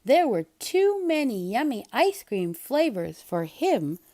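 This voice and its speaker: A woman narrates a children's story at a slow pace. Her voice is high and swings widely in pitch, giving the read-aloud lots of expression. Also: sing-song, a little mellow. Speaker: storybook reader.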